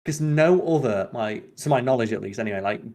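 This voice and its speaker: A man hosts a casual podcast in a mid-pitched voice. He talks off the cuff and gives his opinions freely, ranting with some swearing in an animated, conversational way. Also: brisk. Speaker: podcast host.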